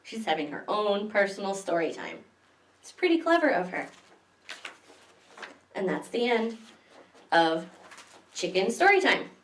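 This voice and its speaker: A woman's voice: medium pitch, huge pitch swings, a little slow, long pauses, distant mic, a little hiss or hum. Lady doing kids story time, explains stuff simply, roomy echo, a bit away from the mic. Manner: simple, child-directed explaining with questions. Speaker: children's librarian and story time host. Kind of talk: picture-book reading and simple craft demonstration for small children. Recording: echoey room, away from the mic.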